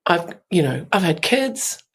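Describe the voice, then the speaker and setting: A woman in her fifties, a guest telling a candid story in an interview, with a croaky voice and frequent laughs. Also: fairly low pitch, very animated, unhurried, a bit bright. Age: 50s. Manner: laughs often, acts out her own thoughts in quotes. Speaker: podcast guest, artist.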